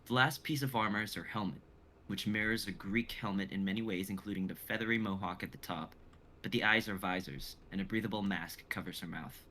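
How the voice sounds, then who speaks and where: A man's voice: on the low side, fairly quick, sharp tone, slight background noise. A young man reading stage directions as dramatic narration in a live script reading. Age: young adult.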